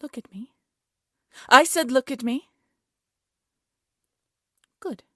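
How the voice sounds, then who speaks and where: A woman playing a haughty, cruel evil queen in a fairy-tale audio drama, speaking in an imperious, condescending way with a breathy voice. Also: sits high, slow, no echo, clean studio sound. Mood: menacing, silky. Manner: imperious, scolding, condescending, theatrical.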